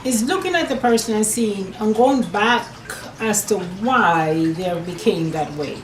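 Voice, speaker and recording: A woman's voice: medium pitch, expressive, a little slow, roomy sound, slight background noise, rough recording. A woman from Jamaica, an herbalist, answering interview questions earnestly, often saying "per se" and restarting her sentences. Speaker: herbalist and nutrition business owner.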